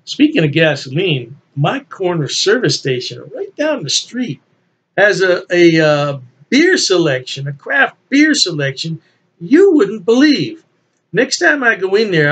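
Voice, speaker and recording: A man's voice: a little high, fairly bright tone, slightly rough. A man in his sixties chatting casually to camera as he hosts a review, enthusiastic and emphatic, often repeating phrases for emphasis. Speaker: beer reviewer, channel host. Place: small indoor room at home.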